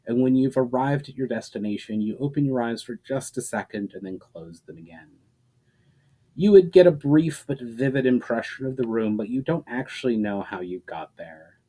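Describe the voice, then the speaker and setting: A man's voice: medium pitch, sing-song, normal speed, dark tone, poor audio. Man reading as grave, troubled male mage. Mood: doubtful, worried. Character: troubled, earnest male mage haunted by visions.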